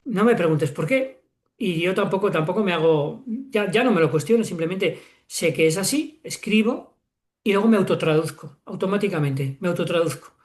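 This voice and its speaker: A man's voice: high-pitched, animated, moderate pace. A man in his sixties from Spain, a guest author talking reflectively in an interview, with frequent fillers and self-corrections. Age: 60s. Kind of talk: relaxed interview conversation.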